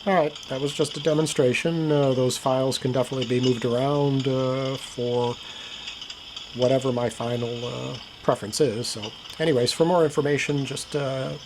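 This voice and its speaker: Gravelly man in a noisy recording. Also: mid-range voice, fairly even intonation, normal speed. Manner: plain-spoken, matter-of-fact. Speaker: hobbyist demonstrator. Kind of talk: casual demo narration. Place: indoor hobby workbench. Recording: noisy home camera recording.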